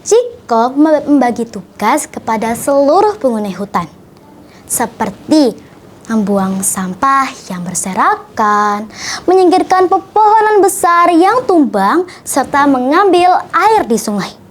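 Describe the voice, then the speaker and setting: A young female storyteller, reciting a children's tale in an expressive, deliberate, theatrical way. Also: high-pitched.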